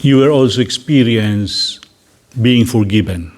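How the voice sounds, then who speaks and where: A man with a Filipino English accent, a priest leading a slow, gentle guided reflection for a gathering. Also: fairly low pitch. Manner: slow, deliberate, gently inviting.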